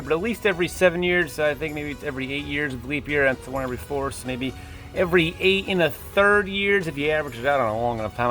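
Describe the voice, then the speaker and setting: A man's voice: high-pitched, a bit bright. Rambling, casual man in his late twenties. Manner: rambling, self-deprecating, tangential. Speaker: YouTube creator and host. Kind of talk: casual rambling vlog monologue.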